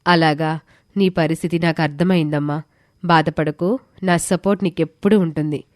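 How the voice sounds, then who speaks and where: A woman plays a caring female schoolteacher in an animated moral tale. She sounds supportive and concerned, slightly raised in pitch, on a dry recording. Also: normal speed, no room sound, close to an everyday voice. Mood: kindly, supportive. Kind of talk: animated moral story character voice.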